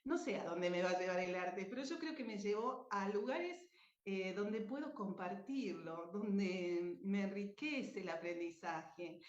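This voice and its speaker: A woman's voice: medium pitch, lively intonation, measured pace. An Argentine woman giving an informal, effusive, anecdotal talk as a presenter. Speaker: radio drama writer and speaker at a book fair. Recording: dull, echoey lo-fi room sound.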